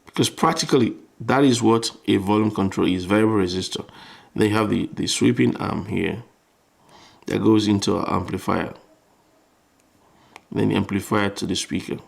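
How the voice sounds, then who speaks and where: Male tutor, low creaky voice, informal step-by-step electronics walkthrough with repeated phrases. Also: moderate pace, pauses often. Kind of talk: explanatory tutorial, thinking aloud while demonstrating.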